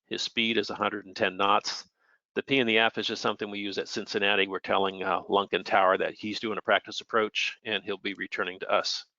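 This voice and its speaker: A man's voice: on the low side, little pitch movement, a little fast, sharp tone, no echo, lo-fi sound. A plainspoken, matter-of-fact man giving an informal technical explanation as an expert air traffic controller.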